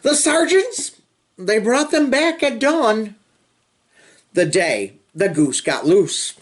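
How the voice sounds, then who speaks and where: A man reading a children's picture book aloud in an expressive, sing-song way, with drawn-out phrasing and chatty asides. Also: high-pitched. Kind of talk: animated storybook read-aloud with chatty asides.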